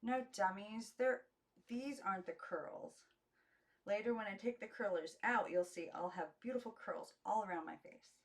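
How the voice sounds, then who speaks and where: A showy young girl lording it over others in a storybook, played by a woman reading aloud. She explains things in an imperious, scornful manner, with her pitch lifted. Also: moderate pace, close to an everyday voice. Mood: bossy. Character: bossy, showy young girl playing know-it-all teacher.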